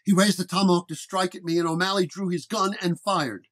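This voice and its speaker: A man's voice: high-pitched, steady tone, a little fast, a bit bright. A man narrating a first-person detective horror story in a dramatic storytelling manner. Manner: storytelling, acting out dialogue.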